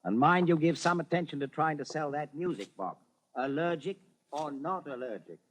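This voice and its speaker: An actor in old-time radio style playing a crabby, bossy older shopkeeper with a blunt, scolding manner. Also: male voice, a little high, moderate pace, close to an everyday voice. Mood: indignant. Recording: faintly noisy old radio recording.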